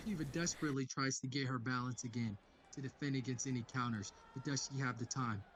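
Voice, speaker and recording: A man voicing an excited fight announcer, dramatic play-by-play with emphatic bursts of volume. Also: mid-range voice, fast talker, a little hiss or hum, lightly acted.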